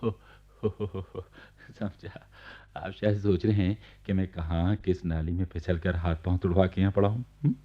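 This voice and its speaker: An actor playing a genial, wry government officer laid up in bed, confiding in the listener as a radio play narrator. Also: male voice, low voice, fairly even intonation, normal speed, few pauses. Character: genial bedridden government officer recounting his neighbours' life. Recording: dull archival radio recording.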